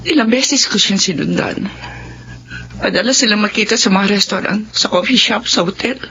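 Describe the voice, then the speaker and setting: A woman's voice: mid-range voice, normal speed, pushed and bright, slight background noise. An actress playing an anguished, betrayed middle-aged wife and mother with a Filipino accent in a radio drama. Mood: distraught.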